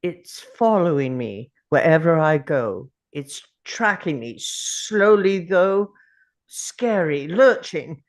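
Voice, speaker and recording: A woman's voice: sits low, animated, slow. A woman playing a brooding, anxious time traveller who talks aloud in a dramatic script read-through. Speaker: actor at a table read.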